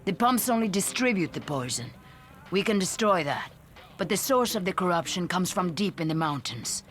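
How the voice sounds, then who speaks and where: An actor plays a seasoned resistance leader in a video game. The delivery is earnest and measured, at a medium pitch, with faint music underneath. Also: lively intonation, fairly bright tone, natural voice. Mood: grave, grateful. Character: measured, war-weary leader of young fighters.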